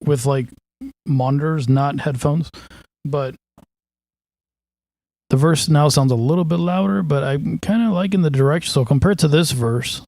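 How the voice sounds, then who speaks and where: A man's voice: a little high, moderate pace, gravelly, long pauses, mellow, no room sound. A man casually talking through a song mix as he works, thinking aloud in a loose, offhand way with lots of fillers. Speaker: mixing engineer. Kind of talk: casual walkthrough of mixing a song.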